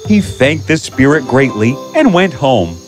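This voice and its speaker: A man narrating a scripted fairy tale in an expressive, measured way. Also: medium pitch, soft background music. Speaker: storyteller.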